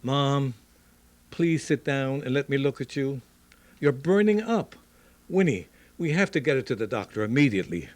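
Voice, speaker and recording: Man acting earnest, very animated scientist. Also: medium pitch, moderate pace, no echo, close to an everyday voice. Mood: worried, urgent. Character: earnest, devoted male scientist and caring son. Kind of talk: Golden Age radio drama acting.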